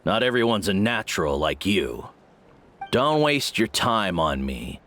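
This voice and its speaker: Jaded, lowish-voiced washed-up warrior. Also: male voice, big pitch swings, a little slow, fairly bright tone, heavily acted. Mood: defeated, self-mocking. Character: jaded, washed-up former warrior man, flippant and defeated. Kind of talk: English game dub acting.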